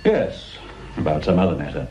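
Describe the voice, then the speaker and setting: An actor playing a manipulative, patronising British police superintendent with an insinuating manner, in a radio drama. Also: male voice, very deep voice, very animated, normal speed, warm tone. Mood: sly, insinuating. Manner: hesitant, insinuating, condescending. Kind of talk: naturalistic radio drama acting. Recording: muffled radio recording with noise.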